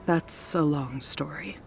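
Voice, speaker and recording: An actress playing a weary, apologetic married woman in a video game, gentle and plaintive. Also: sits low, creaky, exaggerated voice. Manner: polite, plaintive.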